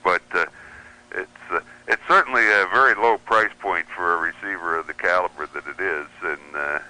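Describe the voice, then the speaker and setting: A man's voice: low-pitched, moderate pace, pushed and bright, rough recording. A man speaking as a guest on a radio talk show, hesitant and full of fillers and restarts. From United States. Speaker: guest radio receiver reviewer. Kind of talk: casual interview chat.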